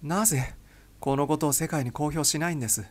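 A man's voice: fairly high pitch, a little fast, pitched above the performer's own voice, lightly acted. A man voicing an impassioned young secret agent who protests urgently, anguished and defiant. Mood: indignant, pleading.

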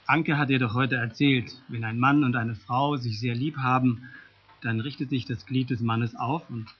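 A man playing an easygoing, gentle father in an educational radio play, explaining simply and carefully, on a lo-fi tape. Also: mid-range voice, steady tone, normal speed, husky, close to an everyday voice. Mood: patient. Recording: dull lo-fi tape recording.

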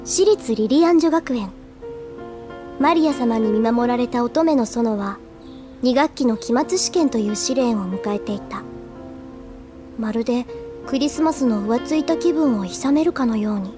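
A high, girlish voice from a woman acting as an earnest teenage schoolgirl. She is chatty and easily flustered, in the style of an anime radio drama, with music underneath. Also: unhurried, close to an everyday voice. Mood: solemn narration.

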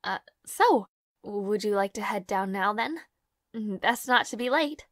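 A woman playing a devoted, anxious young maid in an audio roleplay, speaking softly and politely with halting hesitation. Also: medium pitch, animated, no room sound, clean studio sound, natural voice. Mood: polite, nervous.

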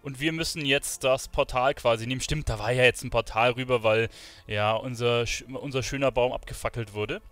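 Male game streamer, dry close sound, informal and chatty, thinking out loud as he plays. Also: mid-range voice, steady tone, normal speed, dry recording, faint music behind. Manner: informal, colloquial, thinking aloud. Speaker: streamer playing an online role-playing game. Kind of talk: casual gameplay commentary.

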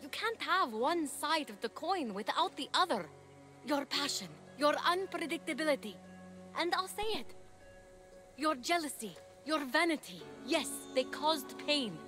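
An actress playing an earnest, encouraging young warrior heroine in a video game, speaking sincerely. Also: female voice, high voice, animated, measured pace, sharp tone, soft background music, exaggerated voice. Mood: earnest, rousing. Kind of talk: video game cutscene acting.

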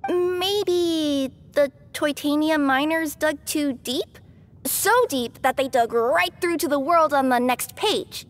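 A woman's voice: high voice, slow. An actress playing an earnest, friendly and curious young girl adventurer in a video game. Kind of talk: video game dialogue acting.

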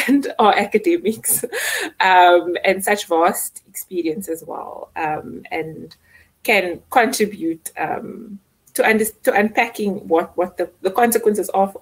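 A woman moderating an online panel discussion, friendly and hesitant with many fillers, over a video call. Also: mid-range voice.

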